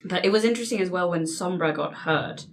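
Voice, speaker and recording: Low-voiced woman in casual conversation. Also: a little fast, slight background noise. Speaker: tabletop roleplay podcast player. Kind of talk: casual group discussion.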